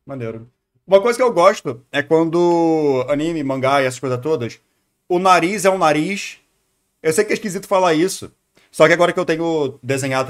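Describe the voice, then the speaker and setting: A man with a Brazilian accent giving casual, rambling reaction commentary as a streamer. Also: fairly high pitch. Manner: rambling, musing.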